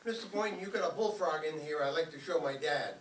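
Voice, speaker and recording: A man's voice: fairly high pitch, quick pace, natural voice. A man playing an eager, naive teenage schoolboy with gushing folksy slang in a radio comedy. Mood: eager, cheerful. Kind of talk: old-time radio comedy acting before an audience. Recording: roomy, distant microphone, faint noise.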